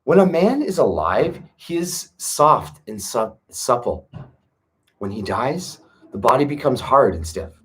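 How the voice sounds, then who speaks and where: A man slowly reading an old philosophical text aloud in a measured, pausing way, stopping now and then to add casual remarks of his own. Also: medium pitch, big pitch swings, a bit bright. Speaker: reader of a classic text. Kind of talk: slow reflective reading with asides.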